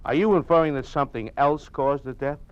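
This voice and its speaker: A man's voice: a little high, fairly quick, clean tone, natural voice. A man playing a sly, smugly threatening party functionary with a cryptic, insinuating manner, in an old-time radio drama. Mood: veiled menace. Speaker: actor. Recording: dull, lo-fi old radio recording.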